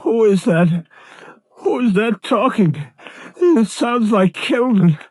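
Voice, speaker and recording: A frightened, failing man in an old radio horror play. The voice is very high and slow, anguished and halting, weak with desperation. It is a vintage, dry 1930s recording. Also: lively intonation, no echo, full character voice. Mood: bewildered. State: weakened, desperate. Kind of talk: 1930s radio drama acting.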